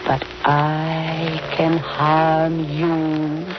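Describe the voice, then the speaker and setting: A woman's voice: low voice, completely flat delivery, drawn-out words, loud music behind the voice. An actress playing the cold, accusing ghost of a drowned woman, menacing, in a 1940s radio drama. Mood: cold menace. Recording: muffled old radio recording.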